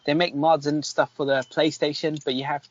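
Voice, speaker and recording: A man's voice: fairly high pitch, flat delivery, moderate pace. A man co-hosting a podcast and chatting casually about games. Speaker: podcast co-host. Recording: dull lo-fi sound with faint noise.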